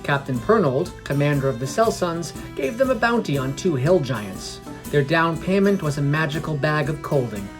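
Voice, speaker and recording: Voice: medium pitch, sing-song, normal speed, hardly any pauses. A storyteller reads a scripted fantasy tale as a podcast narrator. The voice sits in a middle register, with music playing underneath throughout. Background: background music.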